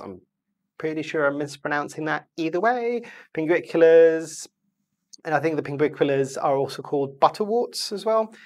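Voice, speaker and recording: A houseplant vlogger talking at home with a stuffed-up, congested voice. The delivery is chatty and meandering, full of asides and mid-sentence self-corrections, at an ordinary middle pitch. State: stuffy, blocked nose. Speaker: houseplant video host. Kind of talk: casual chatty plant review.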